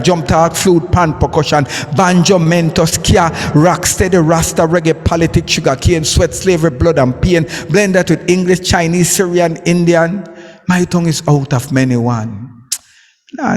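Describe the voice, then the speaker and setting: A man with a Jamaican accent performing his poetry at a live event, chanting in rhythm and sometimes breaking into song. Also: fairly high pitch. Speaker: poet and recording artist. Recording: reverberant live sound with music behind.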